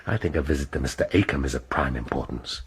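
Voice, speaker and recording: An actor playing a gruff, sardonic police inspector, blunt and dry in his questioning. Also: very deep voice, fast talker. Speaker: police detective. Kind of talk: radio drama acting.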